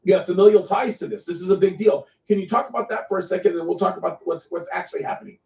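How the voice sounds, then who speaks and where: High, gravelly-voiced male host. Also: steady tone, rapid-fire, darkish tone, slight background noise. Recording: echoey, distant, dull lo-fi sound.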